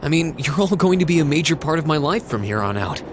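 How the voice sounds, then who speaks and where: An actor playing an earnest, gentle young student in a video game, speaking hesitantly and sincerely. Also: male voice, on the high side, very animated, quick pace, noisy background. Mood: sincere, reassuring. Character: earnest, gentle young male student of adopted noble birth. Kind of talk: video game cutscene dialogue acting.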